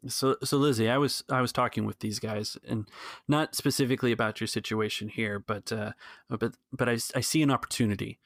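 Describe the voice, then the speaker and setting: Man acting as protective rebel leader, low, creaky, dry close sound, reassuring, halting, tabletop roleplay. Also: fairly even intonation, fairly bright tone, dry recording, close to an everyday voice. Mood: earnest, planning. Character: calm, cautious, protective male rebel organiser.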